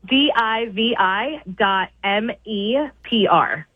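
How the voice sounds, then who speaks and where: A woman's voice: medium pitch, slow pace, no echo, phone-quality sound. A woman from Puerto Rico, a promoter, chatting as a guest on a radio morning show in a goofy, giggly way.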